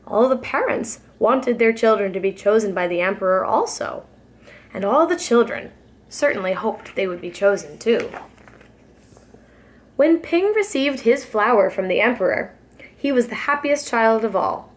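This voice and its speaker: A young woman reading a children's picture book aloud in an expressive, careful storytelling manner, as a preschool teacher does. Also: on the high side, moderate pace. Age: young adult.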